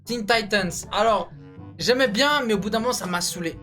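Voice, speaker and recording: A man's voice: high-pitched, normal speed, sharp tone, faint music behind. A man co-hosting a video, ranking cartoons in casual, slangy, enthusiastic banter. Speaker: co-host of a video channel.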